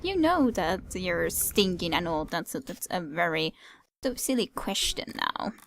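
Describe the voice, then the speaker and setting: A woman's voice: fairly low pitch, sing-song, unhurried, vocal fry, a little hiss or hum. A woman giving casual game commentary, musing aloud hesitantly and repeating her words. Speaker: let's play commentator.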